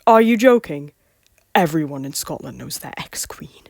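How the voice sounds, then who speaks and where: A woman's voice: sits low, expressive, normal speed, creaky, no room sound, studio quality, exaggerated voice. A woman voicing a bitter, distrustful young male prince who claims the Scottish crown, speaking with scorn in a fan audio drama. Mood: scornful. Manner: scornful, sharp-tongued. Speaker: voice actor.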